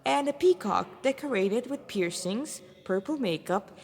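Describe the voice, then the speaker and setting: A woman's voice: a little high, expressive, a little slow. A woman narrating a fan fiction fantasy story, reading it aloud as its narrator. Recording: reverberant hall-like room sound.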